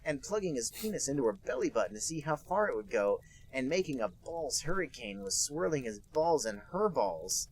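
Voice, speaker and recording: Husky deadpan male fanfic reader. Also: mid-range voice, normal speed, hardly any pauses, low-quality recording. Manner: deadpan, fighting back laughter. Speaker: podcast co-host reading a fanfic aloud. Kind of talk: deadpan comedic reading.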